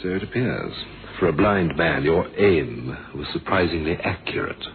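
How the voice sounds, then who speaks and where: An actor playing a probing police inspector in a radio drama, politely barbed, with a dry, understated, questioning manner. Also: male voice, a bass voice, animated, normal speed, a crowd in the background. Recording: noisy, thin phone-like old radio recording.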